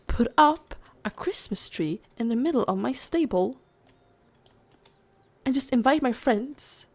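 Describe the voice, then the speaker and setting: A young woman chatting casually over gameplay, rambling and saying "like" constantly, her voice strained and giving out. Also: mid-range voice. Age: young adult. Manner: rambling, fills every gap with "like". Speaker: gaming YouTuber.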